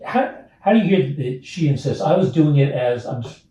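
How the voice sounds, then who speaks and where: A man's voice: on the high side, animated, moderate pace, warm tone, muffled recording. A man speaking as an actor in rehearsal, casually discussing how to read his lines. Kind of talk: casual discussion of line readings. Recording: very echoey, distant mic, faint music.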